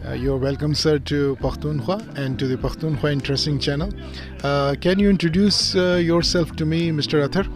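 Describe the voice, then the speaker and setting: A man's voice: a little high, fairly even intonation, brisk. A man hosting an interview, who speaks hesitantly with many fillers. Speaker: host. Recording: music playing underneath.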